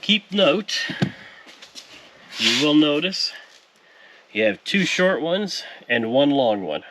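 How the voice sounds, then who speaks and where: A man's voice: on the high side, very animated, slow, bright, forceful tone, a little hiss or hum. A man presenting a casual car audio installation tutorial, explaining each step in a conversational way in a workshop. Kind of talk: casual how-to vlog narration. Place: car repair shop.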